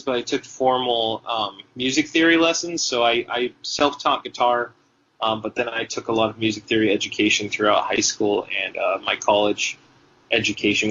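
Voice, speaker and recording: A man's voice: fairly low pitch, a bit bright, slight background noise. A man from Tennessee, a guitarist guest, answering interview questions in a rambling, hesitant way with many ums and restarts. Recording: dull, lo-fi sound.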